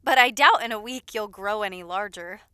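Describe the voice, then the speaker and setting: A woman playing a caring, loyal lady's maid in an audio roleplay, attentive and gently scolding with polite familiarity. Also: medium pitch, normal speed, clear, resonant tone, no echo, studio quality, lightly acted. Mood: reassuring.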